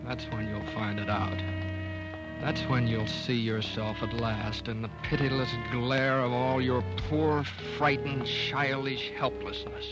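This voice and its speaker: A troubled, weak-willed American doctor played by a man in a vintage radio drama, with music behind him. He speaks at a middling pitch, hesitating and stammering as if weighed down by guilt and love. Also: little pitch movement, a little slow, muffled recording, close to an everyday voice. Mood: haunted narration. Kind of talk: 1940s-50s radio drama acting.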